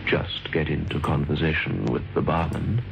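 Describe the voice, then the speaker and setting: Deep, gravelly radio narrator. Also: male voice, moderate pace, background noise. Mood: wry. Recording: dull old radio recording, music behind.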